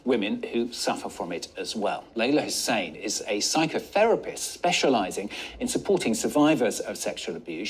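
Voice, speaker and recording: A male television presenter with a slightly husky voice, mid-pitched and polished. He introduces his guest in an even broadcast manner and puts leading questions to her. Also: rough recording. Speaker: TV news presenter. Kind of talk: broadcast interview.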